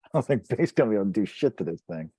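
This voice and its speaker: A man's voice: lowish voice, sing-song, very fast, dark tone, slightly rough, no room sound. A man playing a tabletop game, chatting casually as he works out his moves.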